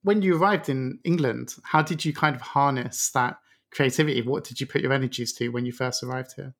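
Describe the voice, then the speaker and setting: Male podcast host at medium pitch, friendly follow-up questions. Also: brisk, fairly bright tone. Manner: encouraging, builds on the guest's answers. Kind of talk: casual interview questions.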